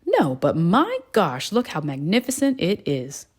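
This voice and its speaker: A woman's voice: on the low side, huge pitch swings, unhurried, darkish tone, husky, full character voice. A woman voicing a proud, defensive crayon in a children's storybook, self-satisfied and dramatic. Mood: grandly boastful. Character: proud, defensive crayons showing off their drawings. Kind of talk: children's storybook read-aloud.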